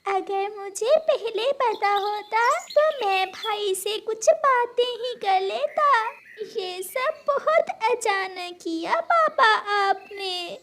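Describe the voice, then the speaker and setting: A woman's voice: extremely high pitch, expressive, takes it slowly, noisy background, pitched above the performer's own voice, heavily acted. A woman voicing a tearful, pleading little boy bird in a cartoon story. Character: pleading little boy chick, heartbroken younger brother.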